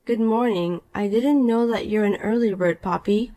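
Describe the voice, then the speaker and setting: A woman voicing a calm, cool married young woman in a dramatized story, dry and patient. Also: mid-range voice, normal speed, natural voice.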